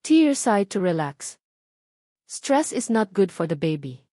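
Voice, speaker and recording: A woman's voice: mid-range voice, sing-song, takes it slowly, dark tone, dry recording, clean studio sound. A woman voicing a cool, businesslike wealthy man with a curt manner in a romance audio drama. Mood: stoic, instructing.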